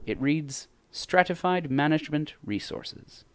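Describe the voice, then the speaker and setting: Male actor as grandiose dystopian storyteller, animated and deliberate, over light background noise. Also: a little high. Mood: mock-epic suspense. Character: grandiose storyteller narrator of a satirical dystopian tale. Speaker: narrator. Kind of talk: scripted audio drama narration.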